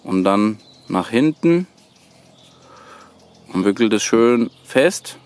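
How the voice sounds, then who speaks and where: Slow-spoken, low-voiced man. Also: lively intonation, darkish tone, clean tone. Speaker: host of a bushcraft tutorial channel. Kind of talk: hands-on how-to demonstration. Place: outdoors on a woodland floor, birdsong behind.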